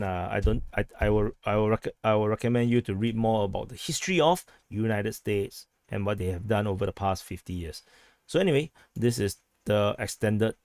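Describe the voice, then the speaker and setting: A hoarse man talks through a casual vlog update. His voice sits on the low side and sounds a bit worn. He rambles in a halting way, with many restarts and fillers, and the sound is dry and close. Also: darkish tone, dry recording. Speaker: vlog host giving news updates. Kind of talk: casual spoken commentary.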